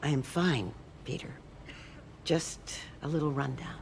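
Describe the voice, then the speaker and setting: A woman's voice: deep voice, measured pace, a little mellow, slight background noise. A woman playing a kindly, stubborn older aunt in a video game, motherly and reassuring. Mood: warm, weary. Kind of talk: naturalistic video game acting.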